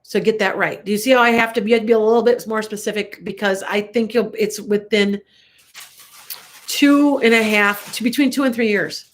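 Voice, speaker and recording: A woman's voice: mid-range voice, fairly even intonation, normal speed. An older woman with a Chicago accent, congested and coughing a little, chatting and rambling through a live tarot reading for viewers with frequent laughs. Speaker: tarot reader.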